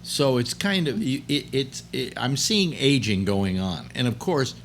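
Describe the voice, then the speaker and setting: A man in his late seventies hosting a casual chat, rambling and halting with many restarts. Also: mid-range voice, lively intonation, slight background noise. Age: 70s. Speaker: host.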